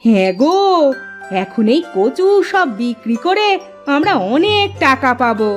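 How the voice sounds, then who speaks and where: A woman acts out a poor farmhand's sharp-tongued wife in a village cartoon. The voice is piercingly high and theatrical, leaping around in pitch. Faint music runs underneath. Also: big pitch swings, measured pace. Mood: softened, pleased. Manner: scolding, theatrical. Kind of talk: Bengali cartoon voice acting.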